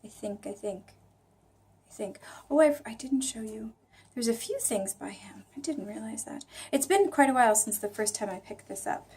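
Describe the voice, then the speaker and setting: A woman's voice: on the high side, expressive, moderate pace, a little mellow, husky. A woman hosting a casual vlog, chatting reflectively in a hesitant, musing way as she thinks aloud. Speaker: vlog host. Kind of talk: casual reflective chat about reading.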